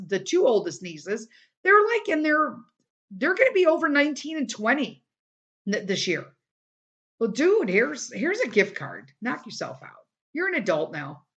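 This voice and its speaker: A woman's voice: on the high side, fairly bright tone, lo-fi sound. A middle-aged woman hosting a casual solo podcast, talking bluntly and emphatically with some swearing, her voice a little worn from a day of recording. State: voice starting to give out from a day of recording. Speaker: podcast host.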